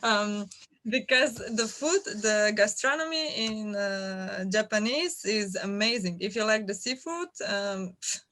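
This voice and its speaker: A young woman from Slovenia, a guest athlete chatting in an interview, hesitant with many fillers and quick to laugh. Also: mid-range voice, lively intonation, fairly bright tone, slight background noise, poor audio. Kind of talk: casual interview chat.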